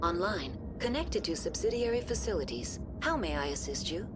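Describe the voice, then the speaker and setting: A woman playing a calm, procedural computer intelligence in a video game, speaking in formal, emotionless announcements. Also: lowish voice, normal speed, loud music behind the voice. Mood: impassive. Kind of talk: scripted video game voice acting.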